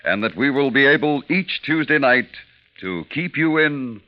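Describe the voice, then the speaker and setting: Man, radio announcer, formal, deliberate, dramatic, muffled old recording, loud music behind. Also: medium pitch, expressive. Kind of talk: 1950s radio announcing, scripted.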